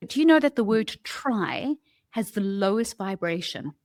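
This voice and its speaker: A woman's voice: mid-range voice, lively intonation, a little slow, no room sound, clean studio sound. A woman co-hosting a podcast, talking candidly and reflectively in a conversational style. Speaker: podcast co-host.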